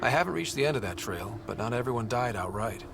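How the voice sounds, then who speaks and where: An actor playing an earnest young male space explorer in a video game, with a gravelly edge to his voice. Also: medium pitch, expressive, fast, background noise. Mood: earnest, serious. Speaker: player-character explorer. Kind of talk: video game dialogue acting.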